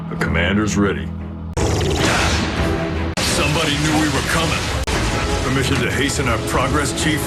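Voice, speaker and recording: A man voicing a brash robot warrior in an animated action series, laconic and wry with tough-guy swagger. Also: fairly low pitch, natural voice. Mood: urgent. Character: brash robot warrior, gruff cocky maverick soldier. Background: loud dramatic music and soundtrack.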